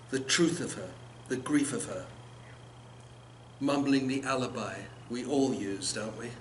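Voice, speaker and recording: An older man reads his poetry at a live event with an expressive, dramatic literary delivery. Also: medium pitch. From South Africa and England. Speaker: poet. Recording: noisy live event room recording.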